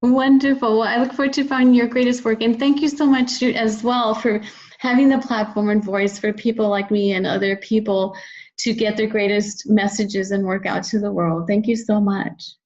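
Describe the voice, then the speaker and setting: A woman's voice: mid-range voice, fairly even intonation, moderate pace, darkish tone, highs cut off. A woman speaking as a podcast guest, reflecting in an earnest, encouraging, conversational way. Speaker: podcast guest, children's book author. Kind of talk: conversational interview, personal reflection.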